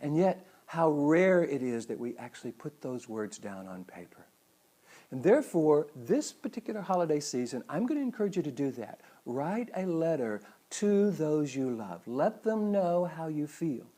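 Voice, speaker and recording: Middle-aged man, mellow and very lively intonation. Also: a little high, moderate pace. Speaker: psychologist and speaker hosting a weekly show. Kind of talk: motivational talk to the audience.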